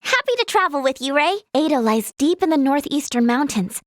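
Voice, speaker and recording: A woman voicing an earnest, kind-hearted young female healer in a video game, with a polite, softly hesitant manner. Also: high voice, expressive, brisk, dry recording, studio quality. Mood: eager, determined. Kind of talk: scripted game character dialogue.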